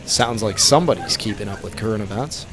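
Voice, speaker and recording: An actor playing a young, slyly innocent speakeasy owner in a 1920s old-time radio drama. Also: male voice, lowish voice, fast talker, crowd noise, natural voice, poor audio. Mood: feigned innocence.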